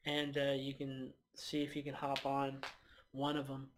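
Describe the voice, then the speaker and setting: A man's voice: medium pitch, completely flat delivery, a little slow, a little hiss or hum. A man who is a guest on a casual podcast, talking in a slangy, profane, drifting way.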